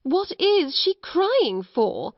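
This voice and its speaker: A woman voicing a severe, irritable schoolmistress in a storybook, sharp and stately in manner. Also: a little high, big pitch swings, moderate pace, creaky, dry recording, dull audio, full character voice. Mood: indignant.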